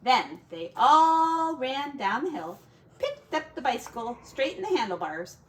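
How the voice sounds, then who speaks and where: Slow, higher-voiced woman reading a storybook. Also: very animated, a bit bright, slight background noise, poor audio. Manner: dramatic, drawn-out emphasis on key words. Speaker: teacher reading aloud to children. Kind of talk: expressive picture-book storytelling with casual asides.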